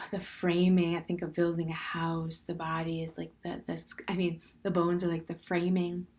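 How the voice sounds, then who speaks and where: A woman leading a guided standing meditation in a gentle, unhurried way, with soft, breathy phrase endings and plenty of pauses. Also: fairly low pitch, completely flat delivery. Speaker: meditation teacher.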